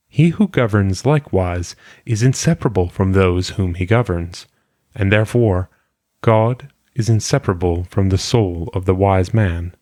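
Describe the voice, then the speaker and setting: A man reading philosophical maxims aloud in a solemn audiobook style, with measured, deliberate phrasing. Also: deep voice, a little mellow, dry recording, studio quality. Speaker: reader.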